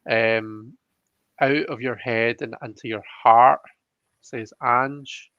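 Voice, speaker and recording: A man's voice: medium pitch, fairly even intonation, takes it slowly, fairly bright tone, no room sound. A man chatting casually as the host of a live tarot reading, over a slightly muffled online call. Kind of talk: casual chat, reading viewer comments aloud.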